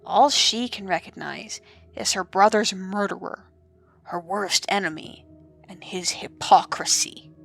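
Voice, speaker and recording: A woman's voice: medium pitch, a little slow, gravelly, a bit bright, faint music behind. A woman giving dramatic scripted narration as the narrator of an audio drama.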